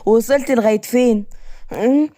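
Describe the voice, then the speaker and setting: Woman playing young Egyptian woman, high pitch, fearful, mouthy, stammering pleas, indignant, storytelling, dry close sound. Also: a little fast, warm tone, no echo, close to an everyday voice. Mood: impatient, exasperated. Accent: Egyptian Arabic.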